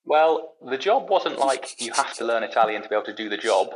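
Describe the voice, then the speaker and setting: A man's voice: mid-range voice, expressive, fairly quick, poor audio. A young man from the UK, a podcast guest, chatting casually and telling long rambling stories with plenty of restarts and self-deprecating asides. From United Kingdom. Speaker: podcast guest, racing engineer. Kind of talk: casual chat.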